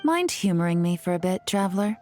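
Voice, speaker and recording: Cool suave lady spy for a game, kinda low voice, measured and teasing, soft music behind. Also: big pitch swings. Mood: relaxed, teasing. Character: poised, sardonic adult female agent, cool and teasing. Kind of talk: dramatic video game voice acting.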